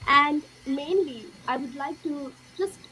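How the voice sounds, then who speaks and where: Young woman from india, high voice, slow earnest storytelling w/ lots of ums, bit of background hiss. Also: sharp tone, poor audio. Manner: slow, earnest, many fillers. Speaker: guest sharing a spiritual story. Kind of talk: personal testimony in an interview.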